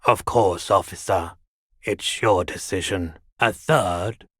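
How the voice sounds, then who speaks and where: An actor playing a laid-back, eccentric pawnshop man in a video game, quietly earnest, with an unhurried, musing, understated delivery. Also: low-pitched, breathy edge, no echo, studio quality, full character voice. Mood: polite, matter-of-fact. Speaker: video game shopkeeper character. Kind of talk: video game character dialogue.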